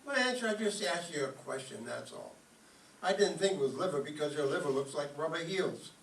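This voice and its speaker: A man's voice: on the high side, lively intonation, normal speed, creaky. A man playing a sleepy, sarcastic, grumbling husband in an old-time radio comedy staged live. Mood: cranky, sarcastic. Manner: grumbling, indignant. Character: sleepy, cranky, sarcastic penny-pinching husband. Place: live stage performance before an audience. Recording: roomy, distant mic, faint noise.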